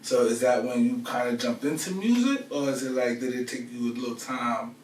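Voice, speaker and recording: A man hosts a relaxed sit-down interview, asking loose, easygoing questions and tossing in quick affirmations. His voice comes from a mic set back in an echoey studio with a faint hiss. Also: mid-range voice. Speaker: interview host. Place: studio set with brick backdrop. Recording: roomy echo, distant mic, faint hiss.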